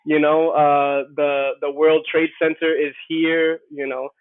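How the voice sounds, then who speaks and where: A man's voice: a little high, little pitch movement, a little slow, no room sound. A man from New York City, a podcast guest chatting casually and rambling, with many repeated false starts. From Queens, New York City. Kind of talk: casual conversation. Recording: tinny phone-like line, dry.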